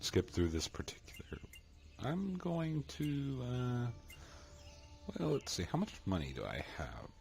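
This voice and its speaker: A young man with a deep voice giving easygoing commentary while playing a video game, sounding flat even when enthusiastic. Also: a little slow, creaky. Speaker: let's play gamer. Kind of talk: casual gameplay commentary. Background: loud game music.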